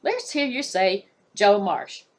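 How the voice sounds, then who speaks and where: Grumbling storybook guard, old-fashioned speech, voiced by a woman; lively swooping pitch. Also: slow pace. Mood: cooing. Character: gruff then fawning male tower guard.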